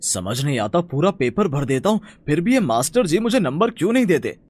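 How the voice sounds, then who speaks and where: An actor voicing schoolboys in a cartoon, talking in a conversational, complaining way. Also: male voice, on the high side, sing-song, brisk, darkish tone. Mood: fretful banter. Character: schoolboys, one fretful, one teasing.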